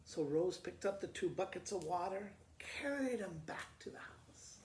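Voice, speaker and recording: A woman reading a storybook aloud as a school principal, retelling it in a halting, informal, folksy way. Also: fairly low pitch, animated, darkish tone. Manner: halting, informal, self-correcting. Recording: roomy echo with faint background noise.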